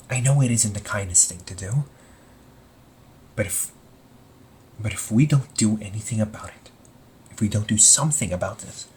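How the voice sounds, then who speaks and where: A man playing a young supervillain in an amateur audio roleplay, husky and breathy, speaking earnestly and haltingly to the listener. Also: on the low side, a little hiss or hum, natural voice. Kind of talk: amateur audio roleplay, intimate one-sided dialogue to listener.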